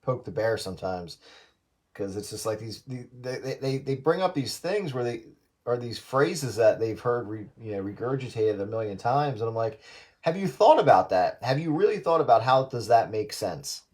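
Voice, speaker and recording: Man, casual hobby radio chat, mid-pitched voice, teasing, argumentative, two-way radio sound. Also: lively intonation, normal speed, darkish tone. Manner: teasing, argumentative, quotes others mockingly.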